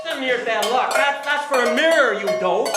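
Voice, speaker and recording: Man acting a brash, high-voiced rowdy party crasher. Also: moderate pace. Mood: brash, scornful. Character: rowdy, cheeky male charivari reveller crashing a wedding party. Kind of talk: historical radio drama acting. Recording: echoey, music and clanging pots behind.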